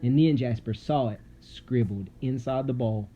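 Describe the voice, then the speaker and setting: A man reading a children's story aloud dramatically, with suspenseful, theatrical delivery and deliberate pauses. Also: mid-range voice, warm tone, highs cut off. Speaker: storybook narrator. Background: music.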